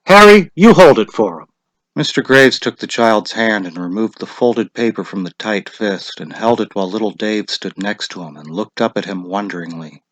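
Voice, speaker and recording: Low-voiced male story narrator. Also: moderate pace, few pauses, fairly bright tone, dry recording, rough recording. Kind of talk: dramatic scripted story narration.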